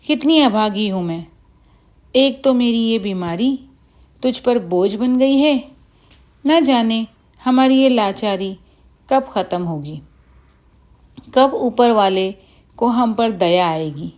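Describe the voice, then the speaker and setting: A woman's voice: on the high side, slow pace, long pauses, darkish tone. A woman voicing a sick, weary village mother in a children's story, lamenting her lot plaintively. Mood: sad, grateful. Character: sick, weary, self-pitying poor old village mother.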